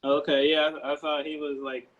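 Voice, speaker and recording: A man's voice: on the high side, flat delivery, a little fast, phone-quality sound. A man chatting casually as he moderates a discussion after a table read. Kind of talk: casual chat. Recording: call audio with clear background noise.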